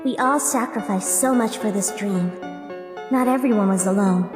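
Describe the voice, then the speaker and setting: A woman's voice: medium pitch, normal speed, close to an everyday voice. A woman playing a solemn, earnest, fiercely protective young female warrior in a dramatic comic dub. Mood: solemn, devoted. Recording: echoey, long reverb over loud music.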